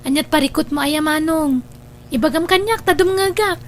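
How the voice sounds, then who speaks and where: An actress playing a caring, sweet younger woman in an Ilocano radio drama, with an earnest, expressive delivery. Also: high-pitched, moderate pace, lightly acted. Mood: concerned.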